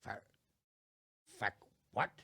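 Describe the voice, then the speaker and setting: An actor playing a blustering, hot-tempered older lord in a video game cutscene, gruff and quick to swear. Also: male voice, pushed and bright, dry recording, studio quality. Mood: furious. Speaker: nobleman lord.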